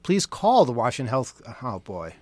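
Man, radio host, medium pitch, dry studio sound, casual banter, sardonic humor. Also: wildly swooping pitch, moderate pace, warm tone, no room sound. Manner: wry, joking, sardonic.

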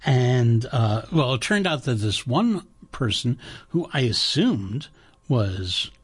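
A husky-voiced man hosts a radio show from the studio. He talks in a chatty, rambling and enthusiastic way, with a pitch that sits in the middle of the range. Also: sing-song, slow. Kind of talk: casual on-air radio chat with a pledge-drive pitch.

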